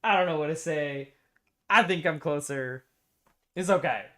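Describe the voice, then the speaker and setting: A man's voice: a little high, measured pace, a bit bright. A young American man chatting casually on a livestream, talkative and repeating himself as he good-naturedly argues a point. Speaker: streamer and ROM hacker.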